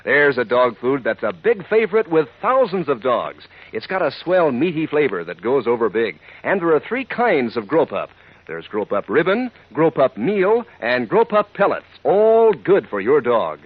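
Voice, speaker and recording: Upbeat old radio ad guy, folksy, swingy pitch, muffled sound. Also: very animated, moderate pace, no echo. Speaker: radio announcer. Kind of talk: scripted 1940s radio advertising. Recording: dull old radio recording.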